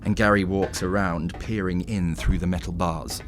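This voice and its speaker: A man narrating a scripted horror story in a dramatic, deliberate storytelling manner. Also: sits low, steady tone. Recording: clearly noisy background ambience.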